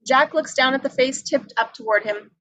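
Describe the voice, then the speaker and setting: A woman's voice: sits high, normal speed, fairly bright tone, no room sound, poor audio. A woman reading out scripted scene descriptions and stage directions as a narrator. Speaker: reader of action descriptions.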